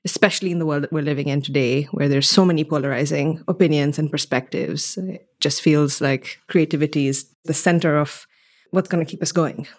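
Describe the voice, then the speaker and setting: A woman's voice: deep voice, steady tone, a little fast, darkish tone. A young woman from Kenya, telling personal stories as a podcast guest in a reflective, articulate way. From Mombasa, Kenya. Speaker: podcast guest, theatre artist. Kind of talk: conversational interview, personal storytelling.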